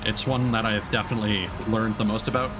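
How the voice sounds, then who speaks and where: A man's voice: lowish voice, flat delivery, fast, over music, rough recording. A man livestreaming a video game, giving casual, explanatory commentary. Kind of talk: casual live gaming commentary.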